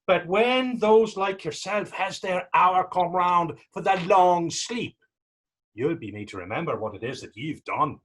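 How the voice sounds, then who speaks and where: Man acting a husky, mocking young Irish vagrant. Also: sits high, expressive, a little slow. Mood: earnest, defiant. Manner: taunting, sarcastic, theatrical dialect speech. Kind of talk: readers theater stage acting.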